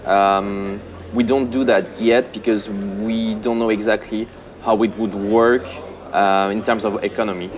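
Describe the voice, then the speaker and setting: A man, a senior game producer, answering questions in a relaxed, halting way with many pauses and a dry sense of humor. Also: lowish voice. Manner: relaxed, many ums and uhs, self-deprecating humor. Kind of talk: casual interview answers.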